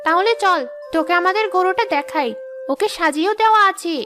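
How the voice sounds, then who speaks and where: Cartoon role: outspoken young girl, very high, childlike and insistent, music underneath. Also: fairly quick. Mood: boastful, haughty. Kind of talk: cartoon voice acting.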